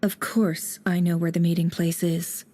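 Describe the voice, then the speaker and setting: A woman's voice: fairly low pitch, flat delivery, moderate pace, mellow, slight background noise, close to an everyday voice. An actress voicing a wry, composed adult female spymaster in a video game, speaking in a dry, confident, teasing way.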